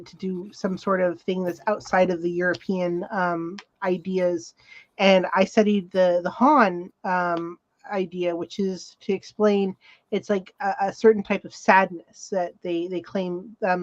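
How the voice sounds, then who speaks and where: A woman's voice: medium pitch, no echo. Woman on podcast, dull dry recording. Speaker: podcast panelist. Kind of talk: casual panel chat.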